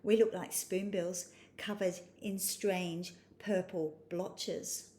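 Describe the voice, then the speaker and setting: A woman's voice: lowish voice, steady tone, slow pace, warm tone, slight background noise, voice pushed up. A woman voicing a blunt, doubting young spoonbill who contradicts emphatically in a children's storybook. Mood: blunt, dismissive.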